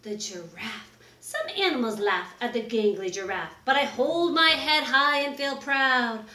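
A middle-aged woman reading a children's picture book aloud in an exaggerated, expressive way, with drawn-out words. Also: a little high. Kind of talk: animated children's story read-aloud. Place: small wood-paneled room indoors. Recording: roomy, echoing indoor recording.

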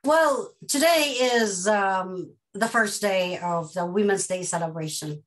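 A woman's voice: medium pitch, slow. Chatty middle-aged woman hosting. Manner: chatty, playful, talks around her points. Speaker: host. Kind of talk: casual video-call interview. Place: home room. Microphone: video call.

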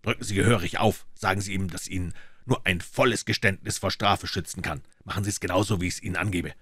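A coolly commanding detective, voiced by a male reader in a low, hushed register. He lays out instructions in a measured, firm way with quiet intensity, recorded dry and close. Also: husky, no room sound, exaggerated voice. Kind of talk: audiobook character voice.

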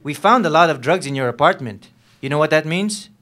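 A young man on stage acts out a volatile Filipino police lieutenant with a somewhat high male voice. He interrogates in a needling, bullying way, dropping in Tagalog slang, as part of a dramatic novel reading. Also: lively intonation, brisk, fairly bright tone. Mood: menacing. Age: young adult. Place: on stage at a live reading event. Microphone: microphone on a stand.

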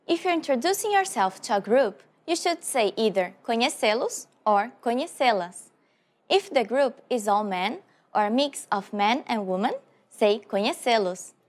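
A woman presenting a short scripted language tip in a lively instructional way. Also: on the high side. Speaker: lesson presenter.

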